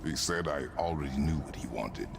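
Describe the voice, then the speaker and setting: An actor playing a stern, terse warrior father in a video game, speaking in a gravelly, commanding rumble. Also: lively intonation, normal speed, long reverb tail, full character voice. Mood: grim, flat. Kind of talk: cinematic video game dialogue.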